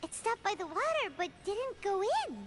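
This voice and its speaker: Squeaky little fairy companion girl. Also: very animated, normal speed, over music. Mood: curious, whiny. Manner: childlike, squeaky, exclaiming. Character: small high-voiced excitable fairy-like companion girl. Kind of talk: video game voice acting.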